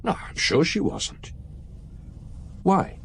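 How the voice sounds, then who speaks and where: An actor playing a young, earnest police inspector in a radio drama, answering respectfully and briefly. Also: male voice, takes it slowly, slight background noise, close to an everyday voice.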